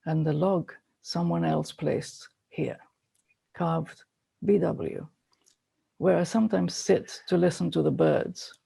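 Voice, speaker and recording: Very creaky, slow man reading poetry. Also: high-pitched, warm tone.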